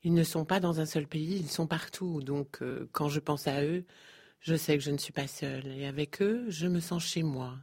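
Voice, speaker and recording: A woman giving a steady voice-over translation of a guest's answers. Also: low-pitched, normal speed, husky. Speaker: interpreter.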